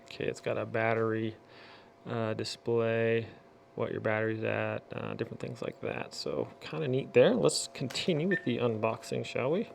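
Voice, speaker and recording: A man's voice: mid-range voice, fairly even intonation, normal speed, vocal fry, slight background noise. A man hosting a casual product unboxing, chatting in a folksy way and muttering to himself as he works. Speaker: unboxing video host.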